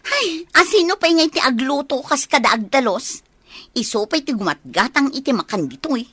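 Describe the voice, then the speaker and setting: A woman's voice: sits high, very animated, normal speed, pushed and bright, no room sound, full character voice. A woman with an Ilocano accent playing a whiny, nosy child of a village household in a comedy radio drama, questioning and complaining.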